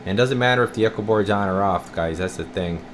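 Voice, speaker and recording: Male repair tech, deep-pitched, informal and folksy, demonstrating on camera in a workshop with clear background noise. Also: fast talker. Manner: folksy, informal, addressing viewers as guys. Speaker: radio repair technician. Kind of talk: casual hands-on repair walkthrough to camera. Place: indoor radio repair workshop. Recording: clear steady room noise.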